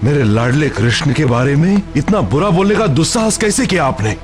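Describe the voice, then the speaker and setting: Man acting a lively, earnest village chief. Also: fairly high pitch, a little fast. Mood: indignant. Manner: earnest, formal, dramatic. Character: kindly village chief, protective, doting father. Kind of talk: animated mythological drama dub. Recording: background music under dialogue.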